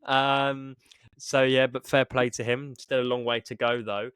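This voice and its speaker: A man's voice: medium pitch, little pitch movement, unhurried, fairly bright tone, clean tone, no room sound. Casual male podcast co-host, close dry sound. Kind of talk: casual sports podcast chat.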